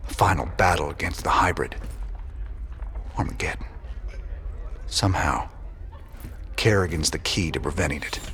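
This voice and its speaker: An actor playing a gruff, world-weary space rebel commander in a video game, terse. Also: male voice, a bass voice, moderate pace, pauses often, a bit bright, slight background noise. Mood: grave, searching. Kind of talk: video game cutscene acting. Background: music.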